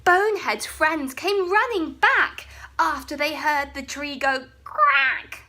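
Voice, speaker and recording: Young woman reading a kids' bedtime story, high and very lively, drops to whispers. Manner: expressive, slow, often hushed to a whisper. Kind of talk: bedtime story read-aloud.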